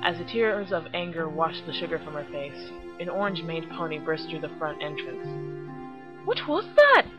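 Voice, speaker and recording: A woman's voice: deep voice, a little fast, hardly any pauses. A woman hosting a web series, reviewing casually and narrating a story. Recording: muffled lo-fi with music behind. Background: background music.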